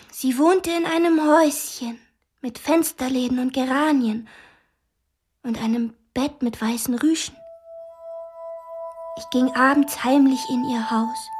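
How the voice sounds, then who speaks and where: A young girl in a radio play, pretending to be a wounded soldier. The voice is high and playful, spinning tales with theatrical relish. Faint music sits behind it. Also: a little mellow, husky, full character voice. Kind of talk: radio drama acting.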